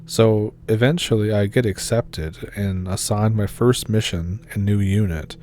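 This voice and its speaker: A man calmly reading scary stories aloud as the narrator of a horror channel. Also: lowish voice, a little slow, slight background noise.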